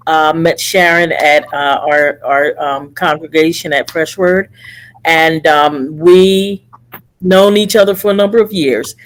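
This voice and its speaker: A woman's voice: deep voice, steady tone, a little slow, low-quality recording. A woman from New Jersey, a co-host, speaking plainly with many ums and uhs. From Camden, New Jersey. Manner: frequent fillers, plainspoken. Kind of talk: casual self-introduction.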